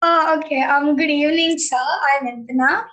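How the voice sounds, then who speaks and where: A woman's voice: high-pitched, steady tone, moderate pace, fairly bright tone. A woman from Karnataka, India, hesitantly asking her teacher a question over a video call, using fillers like "um" and "like.". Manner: hesitant, uses "like" and "um".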